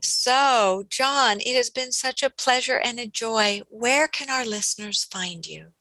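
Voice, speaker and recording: A woman hosting a podcast, affirming her guest in a warm, effusive way. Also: a little high, measured pace, no room sound, low-quality recording. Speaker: podcast host. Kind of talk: podcast interview.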